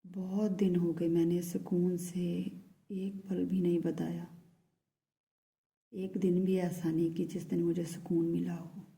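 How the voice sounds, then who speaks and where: A lovesick, pleading man, as a woman speaks his message in a very mellow, dark tone that stays flat and even. The delivery is soft and hushed and often sinks to a whisper. The pitch sits low for a woman. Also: creaky. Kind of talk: channelled love message in first person.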